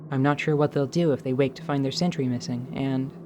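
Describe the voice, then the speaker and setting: A man voicing a wry, commanding adventurer with a dry, deliberate manner in a fantasy audio drama. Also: medium pitch, fairly even intonation, noisy background, lightly acted. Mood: wry, composed.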